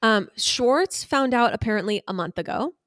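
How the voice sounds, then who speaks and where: A woman's voice: fairly high pitch, animated, normal speed, a bit bright, no room sound, studio quality. A woman podcast host casually recapping gossip in a conversational, emphatic way.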